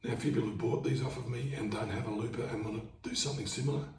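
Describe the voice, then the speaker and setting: Hoarse man explaining guitar, laid-back. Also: medium pitch, steady tone, very fast, a little mellow, recorded from a distance, a little hiss or hum. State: hoarse, losing his voice. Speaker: market busker teaching guitar. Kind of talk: casual instructional talk. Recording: roomy sound, away from the mic, guitar playing behind.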